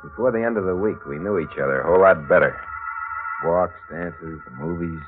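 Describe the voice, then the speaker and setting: An actor playing a hardboiled, scheming drifter in 1940s radio noir, terse and slangy, heard on a muffled old recording. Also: male voice, deep voice, a little fast, over music, lightly acted. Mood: brooding. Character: hardboiled, scheming male drifter narrating his crime.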